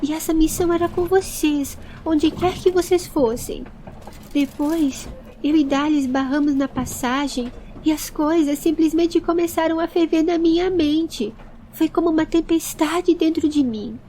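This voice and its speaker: A high-voiced young boy in an audio story acted out by a woman. He is nervous and earnest, pleading his case in a plaintive, apologetic way. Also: a little slow, mellow, slight background noise. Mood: tearful, earnest.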